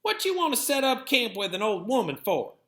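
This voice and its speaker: A man's voice: extremely high pitch, very animated, a little fast, fairly bright tone, roomy sound, pitched above the performer's own voice, heavily acted. A man voicing a plain-spoken, worn-out old country grandmother, blunt and folksy, in a storybook reading. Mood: firm, weary.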